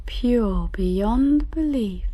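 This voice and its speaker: A woman voicing an ancient, soothing dragon in a scripted ASMR sleep-aid roleplay, speaking in a solemn, breathy near-whisper. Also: fairly high pitch, expressive, drawn-out words, mellow, dry recording, exaggerated voice. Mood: soothing, hypnotic. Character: ancient dragon, soothing keeper of a golden lair.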